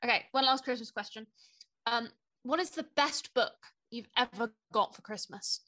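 Young female podcast host, British accent. Bright, crisp tone, dry close recording, casual chat. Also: a little high, normal speed, dry recording.

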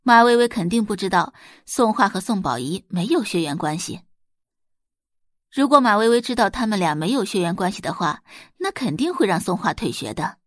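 Woman audiobook narrator for serial fiction; dramatised delivery, lively pitch movement, dry studio-like sound. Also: normal speed, dry recording. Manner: expressive, dramatised storytelling. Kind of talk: scripted audiobook narration of a web novel.

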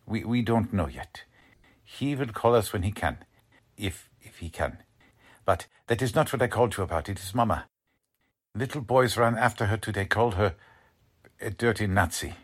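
A man's voice: fairly low pitch, animated, moderate pace, pauses often, husky. A man playing an anxious, principled middle-aged German-American father in a 1940s-style radio drama, grave and earnest. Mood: grave, urgent. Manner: grave, earnest, formal phrasing. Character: anxious German-American family patriarch, urgent but principled.

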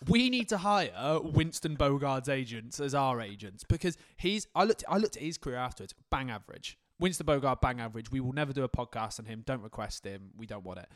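A man co-hosting a laid-back football podcast, trading banter and dry one-liners. His voice sits at an ordinary pitch and is recorded close and dry, with no room sound. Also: lively intonation, a little fast, no room sound. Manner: banter-heavy, laughs often, dry asides. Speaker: podcast co-host. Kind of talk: casual football podcast chat.

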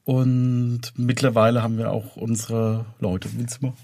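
A man's voice: mid-range voice, flat delivery, unhurried, darkish tone, dry recording. A man speaking as an interview guest, explaining his work in casual conversation. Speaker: interview guest, audio drama producer and composer.

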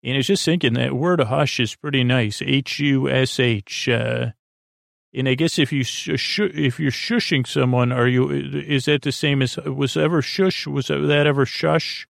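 A man with a creaky voice hosting a bedtime podcast, rambling in a soothing, sleepy, hesitant way with stop-and-start phrasing. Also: medium pitch, fairly even intonation, unhurried, no echo, clean studio sound. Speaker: podcast host.

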